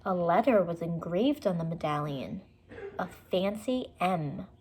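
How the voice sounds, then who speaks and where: A woman's voice: on the low side, lively intonation, measured pace, a little mellow, a little hiss or hum. Woman reading a children's story aloud. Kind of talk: children's audiobook narration with asides.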